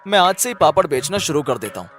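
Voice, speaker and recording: Man acting a lively, high-toned coaxing village farmer. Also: fast talker, faint music behind. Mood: reassuring. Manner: coaxing, reassuring. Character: good-humoured, affectionate village farmer turned papad hawker.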